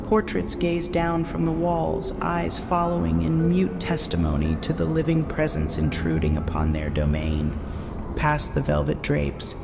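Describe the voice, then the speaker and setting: A man giving atmospheric, scripted gothic narration in a measured, dramatic, solemn manner. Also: fairly high pitch, lively intonation, gravelly. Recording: long reverb, loud music behind.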